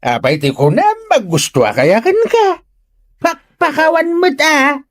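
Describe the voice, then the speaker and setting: A woman's voice: sits high, sing-song, measured pace, exaggerated voice. A woman playing a nosy, mocking village woman in an Ilocano comedy drama, teasing in a taunting sing-song. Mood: needling.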